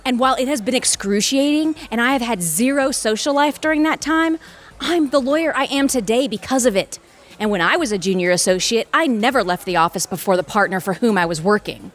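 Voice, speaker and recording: An actress playing an exacting, irritated senior lawyer in an audio drama, speaking in a cutting, sarcastic and articulate way. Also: female voice, fairly high pitch, animated, fairly quick, natural voice. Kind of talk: audio theatre dialogue acting.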